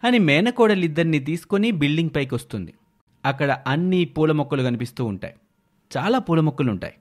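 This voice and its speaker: A man narrating an animated moral tale in a scripted storytelling style. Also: fairly high pitch, fairly quick.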